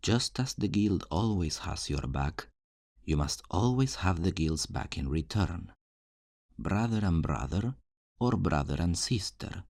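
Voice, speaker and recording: Casting: male actor as charming thief for fantasy ASMR, teasing and theatrical, unhurried, swinging delivery. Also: low voice, darkish tone, no room sound, studio quality, heavily acted. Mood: smooth instructing. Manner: flirtatious, smooth-talking, theatrical, teasing. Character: charming, roguish fantasy thief recruiting for his guild. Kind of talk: ASMR roleplay, scripted character acting.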